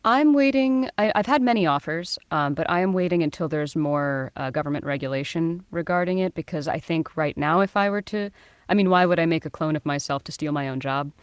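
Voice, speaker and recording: Low-pitched woman, dry close sound. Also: a little fast, clear, resonant tone, no room sound. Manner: bright, laughing, animated answers. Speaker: guest voice actor. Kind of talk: casual interview chat.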